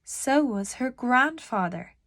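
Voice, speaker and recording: A woman narrating a children's storybook aloud in a deliberate, expressive storytelling manner. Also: fairly high pitch, no echo, clean studio sound.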